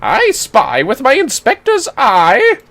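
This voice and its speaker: Flamboyant, high-swooping gentleman inspector. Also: huge pitch swings, slow, exaggerated voice. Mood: grandly pleased. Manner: grandiose, swooping, florid delivery. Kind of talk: theatrical voice-acting of game dialogue.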